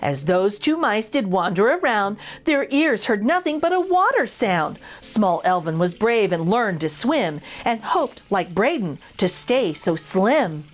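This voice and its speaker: A woman's voice: mid-range voice, very animated, unhurried, few pauses. A woman reading a children's picture book aloud expressively, with little asides to young listeners. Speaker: children's story reader and host.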